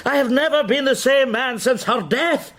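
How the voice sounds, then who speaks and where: A man's voice: very high-pitched, moderate pace, a bit bright. An actor playing an unhinged, lovelorn man sliding into raving madness, theatrical and rambling, in a period radio drama. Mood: anguished, adoring. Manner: theatrical, rambling, swooping between whispers and cries.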